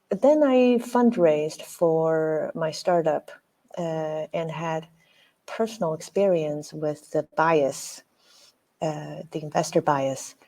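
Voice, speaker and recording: A woman from Taiwan introduces herself on an online panel in a measured, matter-of-fact way. Her voice is low and mellow. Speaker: panelist, angel investor and founder.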